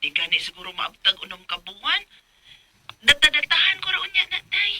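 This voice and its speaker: An actress playing a brazen, wheedling, hot-tempered elder sister in a radio drama, with an emphatic, insistent delivery. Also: female voice, high-pitched, very animated, brisk, heavy background noise. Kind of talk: Filipino radio drama acting.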